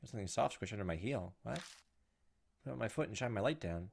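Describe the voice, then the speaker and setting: A man doing casual gameplay commentary, thinking aloud in an informal, self-deprecating way and reading game text out loud. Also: fairly low pitch, expressive, quick pace, gravelly, background noise. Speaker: gaming video host.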